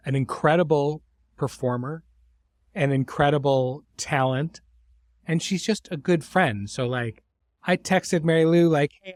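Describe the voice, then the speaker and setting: A man's voice: fairly high pitch, no room sound. A middle-aged man hosting a podcast in an informal, conversational way, effusive with his praise. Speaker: host and series creator.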